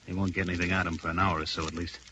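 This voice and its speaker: A man's voice: sits low, little pitch movement, rapid-fire, bright, forceful tone, dull audio. An actor playing an urgent, bossy gunman on the run in an old radio crime drama, clipped and slangy. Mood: urgent, commanding. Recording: noisy old radio recording.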